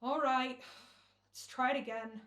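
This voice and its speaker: Impatient slangy show boss for radio play, woman performing, very high voice, brusque, roomy sound. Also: fairly even intonation, a little slow, a bit bright. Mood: exasperated. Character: bossy, impatient wisecracking male radio director. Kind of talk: old-time American radio acting.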